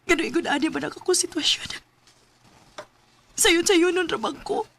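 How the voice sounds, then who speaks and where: A woman's voice: very high voice, normal speed, creaky, a bit bright, slight background noise, heavily acted. A Cebuano actress playing a devoted, easily hurt young woman in a romantic radio drama, with an emotional, pleading manner. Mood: sad, brooding. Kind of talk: Filipino radio drama acting.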